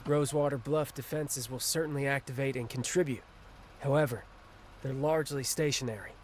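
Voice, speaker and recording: A composed tactician, played by a man, with a mid-pitched voice. He lays things out precisely and seriously, over faint ambient noise. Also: steady tone, a little fast, close to an everyday voice. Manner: precise, matter-of-fact. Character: composed, methodical male military strategist ally. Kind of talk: video game dramatic dialogue.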